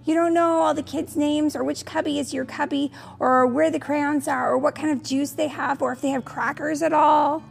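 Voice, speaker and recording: A woman's voice: high voice, steady tone, normal speed, vocal fry, hardly any pauses, soft background music, voice pushed up. A woman voicing a stubborn, anxious young child in a storybook, insistent and pleading. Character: stubborn anxious young child, first-day school refuser.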